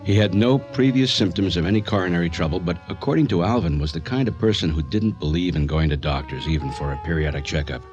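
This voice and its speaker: A man acting a very deep-voiced psychiatrist, over music. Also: quick pace, few pauses, muffled recording, lightly acted. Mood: grave, measured. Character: sober male psychiatrist recounting a patient's case. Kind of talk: scripted radio drama narration.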